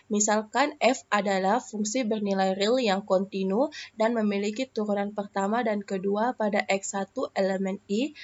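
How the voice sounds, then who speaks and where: A woman's voice: mid-range voice, highs cut off. Young woman explaining math with hesitations. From Indonesia. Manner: explains step by step with frequent hesitations. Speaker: math student presenting a lesson. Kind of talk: screen-recorded math lesson.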